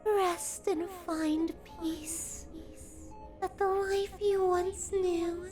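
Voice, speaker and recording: Very high-voiced, obsessive yandere captor. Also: female voice, flat delivery, exaggerated voice. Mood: soothing, possessive. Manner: slow, ornate, tender, possessive delivery. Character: obsessive yandere woman captor, doting, sinister, genteel. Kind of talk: scripted ASMR roleplay, theatrical monologue. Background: background music.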